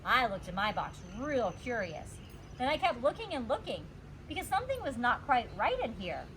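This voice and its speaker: A woman reads a children's story aloud like a teacher. Her fairly high voice is very animated and deliberate. There is some noise in the background. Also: poor audio. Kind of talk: expressive children's storybook narration.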